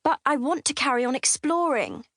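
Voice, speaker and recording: A woman's voice: high voice, expressive, normal speed, a bit bright, dry recording, clean studio sound. A female performer playing a bored, curious young girl in an audiobook drama, plaintive and persistent in a matter-of-fact way. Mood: bored, insistent.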